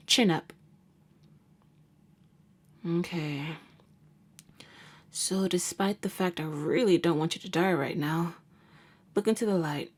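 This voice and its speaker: A woman acts out a jaded vampire huntress who works as a nurse, in an ASMR audio drama. Her voice sits low for a woman. She speaks intimately and wryly, often sinking into breathy murmurs, and a caring streak shows beneath the hardened front. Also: full character voice. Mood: attentive, wry. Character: jaded, wry female vampire hunter and nurse, secretly caring. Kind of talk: ASMR roleplay monologue.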